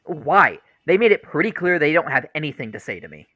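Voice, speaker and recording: A man's voice: on the high side, very animated, fairly quick, sharp tone, exaggerated voice. A man playing a withdrawn, dejected young brother in a radio play, hesitant and defensive. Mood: sullen, irritable.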